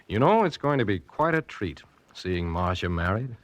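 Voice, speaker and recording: A polite scheming rich uncle, lowish voice that moves up and down a lot, 40s radio play. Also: male voice, sing-song, dry recording. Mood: genial. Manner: urbane, polite, measured probing. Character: smooth, genial, calculating rich uncle and trustee. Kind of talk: 1940s radio drama acting.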